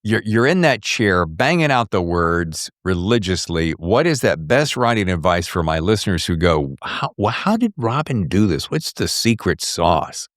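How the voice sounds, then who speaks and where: A man's voice: low-pitched, sing-song, normal speed, hardly any pauses, dry recording, clean studio sound. A man hosting a podcast, interviewing in a chatty way with emphatic, showy phrasing. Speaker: podcast host.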